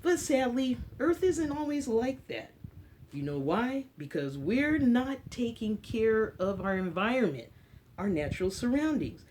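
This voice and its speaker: A woman's voice: fairly high pitch, very animated, measured pace, a little mellow, slight background noise. An American woman hosting a children's story time, speaking in a teacherly way with simple short phrases and questions to her listeners. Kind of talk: story time talk and read-aloud for children.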